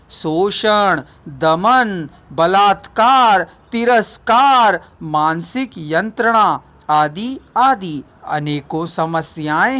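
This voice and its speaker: A woman narrating a non-fiction book as a scripted reading, deliberate and formal, pausing between phrases. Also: lowish voice, fairly bright tone. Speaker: audiobook narrator.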